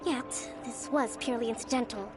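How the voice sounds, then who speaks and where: A woman plays a shrewd young female leader in a video game, with a high voice. She is composed, polite and diplomatic, with music clearly behind her. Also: fairly even intonation, moderate pace, close to an everyday voice. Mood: composed, reproving. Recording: loud game music underneath.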